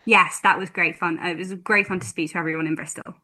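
Bright-voiced young woman campaigner. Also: on the low side, steady tone. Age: 20s. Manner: thoughtful, many ums, slow measured phrasing. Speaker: campaigner and guest. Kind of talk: radio interview.

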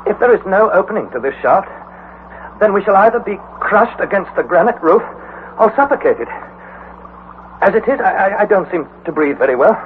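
An earnest young man tells his tale and frets aloud. His voice sits fairly high and moves in lively swings. The sound is a thin, phone-like old broadcast with faint hiss. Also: normal speed, long pauses. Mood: alarmed. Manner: earnest, dramatic storytelling. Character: young male nephew narrator, earnest and anxious. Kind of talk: 1940s radio acting.

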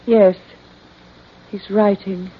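An actress playing an entranced woman in a vintage crime radio drama, speaking in halting, trancelike short phrases. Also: mid-range voice, little pitch movement, husky. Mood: dazed, trancelike. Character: entranced woman reliving deaths, dazed then frantic. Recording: dull lo-fi old radio recording.

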